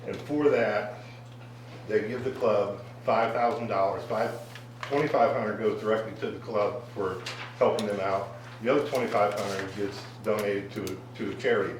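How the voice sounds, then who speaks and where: A man's voice: lowish voice, fairly even intonation, normal speed, noisy background. A man from a car club presenting a donation at a council meeting, speaking hesitantly with many fillers. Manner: hesitant, full of fillers and restarts. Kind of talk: public remarks at a meeting. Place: council chamber. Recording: very echoey room, distant mic.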